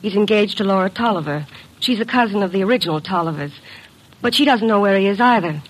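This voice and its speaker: A woman's voice: mid-range voice, lively intonation, quick pace, slightly rough, rough recording. A woman acting a frightened young secretary in a vintage radio detective drama, speaking politely and hesitantly. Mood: worried, hesitant.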